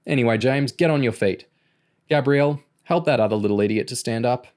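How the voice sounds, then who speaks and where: A man voicing a huge, bullying training instructor in an audiobook, loud, bawling and mocking. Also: brisk, close to an everyday voice. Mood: gloating, mocking. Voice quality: booming, bawling. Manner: sarcastic, taunting. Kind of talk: audiobook character voice.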